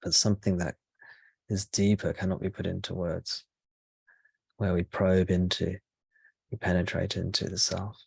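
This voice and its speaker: A man speaking as a spiritual teacher leading a guided meditation, in slow, measured short phrases with pauses between them. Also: deep voice, little pitch movement, gravelly, darkish tone, husky, no echo.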